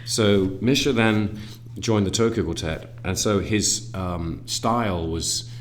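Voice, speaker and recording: A man's voice: low voice, takes it slowly, slight background noise. A man, a cellist in a string quartet, talking thoughtfully in an interview with many fillers and restarts. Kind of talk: reflective conversational interview.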